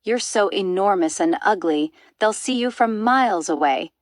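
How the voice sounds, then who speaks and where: A woman's voice: mid-range voice, expressive, moderate pace, dry recording, studio quality, lightly acted. A woman voicing a smaller, blunt crocodile in a storybook, scornful and exclaiming. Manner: scoffing, exclamatory.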